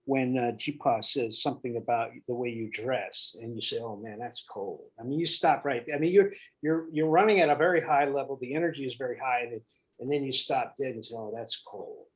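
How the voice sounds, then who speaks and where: A man giving casual directing notes to actors, thinking aloud and often repeating himself in a halting way. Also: medium pitch, poor audio. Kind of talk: casual spoken feedback.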